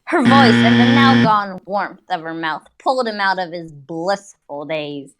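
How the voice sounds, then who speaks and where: A woman's voice: on the low side, lively intonation, a little slow, over loud music. A woman giving a mocking, playful dramatic reading with giggly, exaggerated delivery and casual asides. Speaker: reader in a group dramatic reading.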